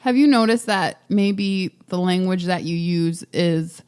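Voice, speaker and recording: A woman's voice: on the low side, measured pace, darkish tone, clear, resonant tone. A woman hosting a podcast, asking probing questions with a teasing edge. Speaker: podcast host. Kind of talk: casual podcast interview.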